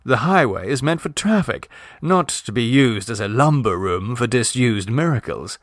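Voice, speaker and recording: A man playing a languid, mischievous young gentleman who speaks in a dry, unhurried, mock-consoling way. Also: mid-range voice, expressive, no echo, natural voice. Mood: drily amused.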